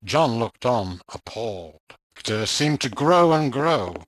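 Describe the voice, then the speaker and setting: Male narrator for a ghost story, suspenseful and measured, expressive pitch, dry close sound. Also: no room sound.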